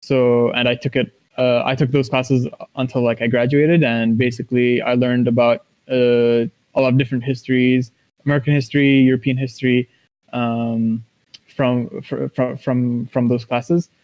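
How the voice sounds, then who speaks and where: A man's voice: medium pitch, little pitch movement, normal speed, a little mellow, low-quality recording. A man from Kuwait speaking casually as an interview guest, thinking aloud in short, broken phrases. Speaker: interview guest, esports professional.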